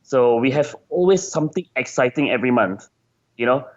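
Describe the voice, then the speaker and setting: Male interviewee, game designer. Mid-pitched, chatty and casual, searches for words with repeats. Slightly muffled, band-limited recording. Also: expressive, a little fast.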